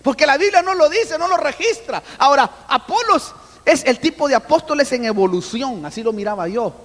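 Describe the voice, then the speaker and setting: A man preaching a sermon with an animated manner, often calling out to his listeners as brother. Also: very high voice, fairly quick, big-room sound. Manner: animated, addresses listeners as brother, acts out voices. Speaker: apostle preaching.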